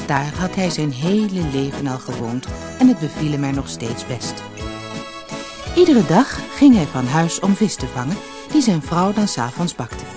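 A woman reading a children's fairy tale as a storyteller, in an expressive, measured narrating style. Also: sits low, husky. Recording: noisy old cassette audiobook.

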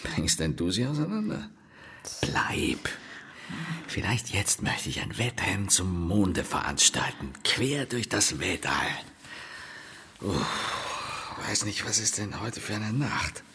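An actor playing a restless, feverish man in a radio drama, speaking in a hushed, breathy voice that can break into outbursts. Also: low voice, big pitch swings, slow pace, in a whisper, exaggerated voice. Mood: feverish defiance. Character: restless, feverish brother yearning to dance and escape. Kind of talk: German radio drama acting.

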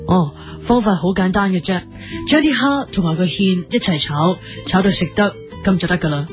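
A woman playing a matter-of-fact TV cookery teacher in a comedy parody, explaining step by step in deadpan Hong Kong Cantonese. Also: fairly low pitch, normal speed, music in the background, lightly acted.

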